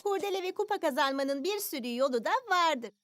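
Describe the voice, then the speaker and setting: Very high-pitched, proud little girl. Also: expressive, brisk, noisy background. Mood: confident. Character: confident little preschool girl, proud and kindly. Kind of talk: animated children's cartoon dub.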